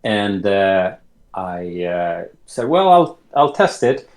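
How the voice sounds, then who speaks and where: A man in his late thirties from Sweden, telling his life story as an interview guest in an unhurried way, often restarting his sentences. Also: low-pitched, sing-song, a little mellow. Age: late 30s. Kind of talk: casual conversation.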